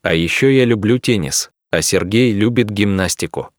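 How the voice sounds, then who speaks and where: An actor voicing a young man who talks about himself and his friend, reading even, clearly pronounced sentences for a language test recording. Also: lowish voice, moderate pace, dry recording, studio quality, lightly acted. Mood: calm. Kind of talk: slow scripted reading for learners.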